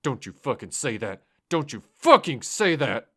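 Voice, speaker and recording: A man voicing a panicking, furious teenage boy driver who yells and swears threats. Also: medium pitch, sing-song, a little slow, slightly rough, pitched above the performer's own voice, full character voice.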